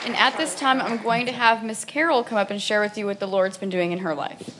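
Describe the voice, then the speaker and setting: A woman's voice: mid-range voice, fairly quick. Young woman with faint background noise. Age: 20s. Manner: relaxed, informal, with some ums. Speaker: program resident leading the introductions. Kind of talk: church presentation.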